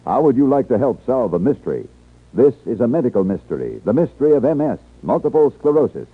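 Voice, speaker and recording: A man's voice: mid-range voice, big pitch swings, quick pace, slightly rough. An American man reading a scripted announcement in 1950s radio announcer style. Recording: tinny, muffled old radio broadcast.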